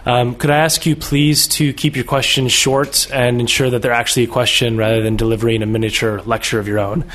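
A man chairing a public lecture, politely and briskly calling on the audience and keeping questions on track. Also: medium pitch, little pitch movement, a bit bright. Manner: polite, brisk, directing the floor. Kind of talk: moderating a question session. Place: lecture hall.

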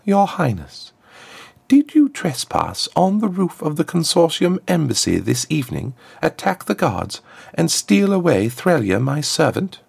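A man's voice: a little high, wildly swooping pitch, unhurried, voice pushed up, exaggerated voice. A man voicing a smug, formal woman ambassador in a story, with silky menace in a lifted voice. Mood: coolly accusing. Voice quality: smooth, lifted contralto. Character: smug, haughty, athletic woman ambassador and villain.

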